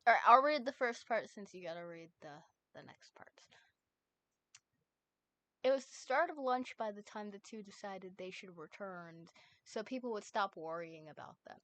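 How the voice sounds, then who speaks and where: A woman's voice: mid-range voice, expressive, normal speed, a bit bright. A woman streamer reading fan fiction aloud with a cohost, chatty and upbeat between passages. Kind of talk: casual live-stream reading.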